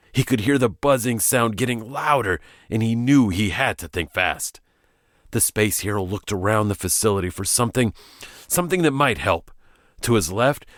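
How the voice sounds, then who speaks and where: Expressive male narrator, bouncy intonation, dry booth sound. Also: fairly low pitch, no room sound. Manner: lively, expressive reading. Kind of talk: scripted storybook narration.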